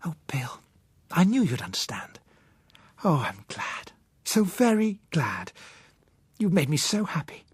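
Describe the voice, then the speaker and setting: A man voicing an earnest young woman, softly husky. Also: fairly high pitch, big pitch swings, slow, long pauses, no echo, pitched above the performer's own voice, heavily acted. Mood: delighted, tender. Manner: pleading, emphatic. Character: earnest, idealistic young woman, tender and persuasive.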